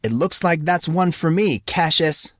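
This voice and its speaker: A man's voice: fairly high pitch, lively intonation, measured pace, a bit bright, no echo, clean studio sound, pitched above the performer's own voice. A man voicing a sardonic teenage schoolboy with slangy talk in an audiobook. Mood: teasing. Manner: teasing, slangy. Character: groggy, sardonic teenage boy, new at school.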